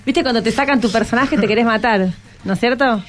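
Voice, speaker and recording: Argentine woman radio host, slightly high voice. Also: a little fast. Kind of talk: informal interview with students.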